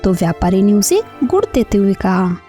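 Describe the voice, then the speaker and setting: A woman narrates a scripted story for children. Her mid-range voice is mellow and moves expressively up and down. Faint music plays behind her. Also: medium pitch, very animated, moderate pace.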